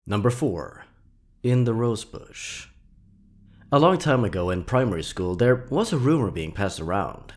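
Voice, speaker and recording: A man narrating scripted creepy stories in a dramatic, suspenseful, theatrical way with drawn-out pauses. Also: lowish voice, big pitch swings, darkish tone. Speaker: horror story narrator and channel host.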